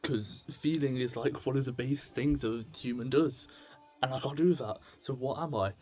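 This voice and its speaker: A man's voice: medium pitch, normal speed, dark tone, faint music behind. A man in his late teens talking casually and confessionally to camera, rambling and stammering as he thinks aloud. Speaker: video blogger.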